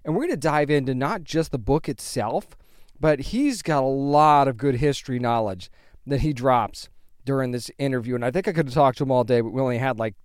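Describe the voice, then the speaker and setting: A man's voice: mid-range voice, a little fast, smooth, no echo, clean studio sound. A man hosting a podcast, talking in a chatty, enthusiastic way and interviewing a guest. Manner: chatty, enthusiastic, loose restarts. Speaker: podcast host. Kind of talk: casual podcast chat and interviewing.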